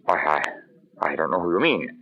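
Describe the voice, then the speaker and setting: An actor playing an ardent, anxious gentleman in an old-time radio drama, with earnest, formal, theatrical phrasing. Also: very deep voice, big pitch swings, fairly quick, full character voice. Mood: uneasy, urgent. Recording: dull, lo-fi old radio recording.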